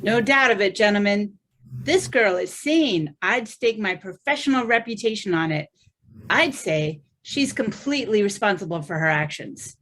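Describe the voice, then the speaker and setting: A woman's voice: medium pitch, expressive, normal speed, fairly bright tone. A woman playing a pompous, accusing courtroom speaker in an old-time radio drama, formal and declamatory. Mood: pompous certainty. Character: pompous, accusing courtroom orator addressing a jury.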